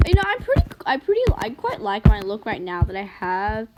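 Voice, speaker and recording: A woman's voice: mid-range voice, huge pitch swings, a little fast, heavy background noise. A young female host giving casual, playful gameplay commentary, giggly and given to mock-serious drama, sometimes talking with her mouth busy. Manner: talks while eating and sucking ice. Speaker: gaming video host.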